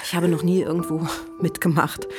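A woman's voice: fairly low pitch, flat delivery, moderate pace, over music, natural voice. A woman playing a brash, self-mocking middle-aged actress who uses drugs, in a naturalistic radio drama monologue with blunt, conversational delivery. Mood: brash, teasing.

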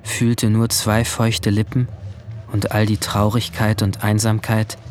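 A man playing a guilt-ridden seventeen-year-old boy, narrating his own story in a measured, introspective way in a radio drama. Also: fairly low pitch, little pitch movement, a little mellow. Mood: brooding, sombre. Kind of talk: scripted first-person radio drama narration. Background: music.

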